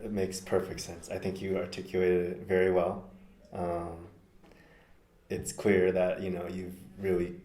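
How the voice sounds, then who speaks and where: A man with a low voice talks through his ideas in an unhurried, reflective way, circling back and rephrasing as he goes. The room around him gives a noticeable echo. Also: a little mellow. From United States. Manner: thoughtful, measured, with frequent ums and self-corrections. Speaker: podcast guest. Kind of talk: casual conversation. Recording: roomy echo.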